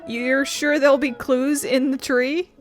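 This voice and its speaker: A woman's voice: high-pitched, little pitch movement, unhurried. A woman giving casual, wry let's play commentary and reading game text aloud. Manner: informal, wry. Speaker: game streamer. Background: game music.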